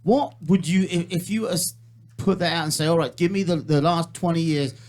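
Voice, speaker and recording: A man's voice: a little high, brisk, a little mellow. A man hosting a casual talk show on an internet radio station. He speaks bluntly, swears often and presses his guests for straight answers. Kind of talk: casual studio chat.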